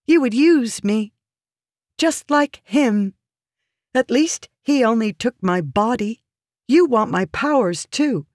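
A woman's voice: a little high, lively intonation, slow pace, a little mellow, no echo, clean studio sound, pitched above the performer's own voice. A woman voicing a pleading, calculating noblewoman in an audiobook, intense and feminine. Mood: intense challenge. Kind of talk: audiobook character dialogue.

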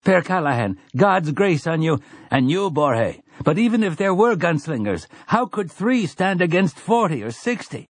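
A man's voice: fairly high pitch, sing-song, moderate pace, no room sound, voice pushed up. A man voicing a plain-spoken, doubtful farmer in an audiobook, arguing insistently through rhetorical questions. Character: doubtful, plain-spoken male farmer pleading practical sense.

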